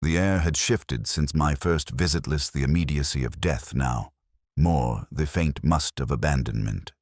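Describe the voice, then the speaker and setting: A man reads a scripted first-person detective story in a measured, solemn, reflective manner, as a literary narrator. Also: extremely low pitch, no echo, clean studio sound. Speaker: audiobook-style narrator.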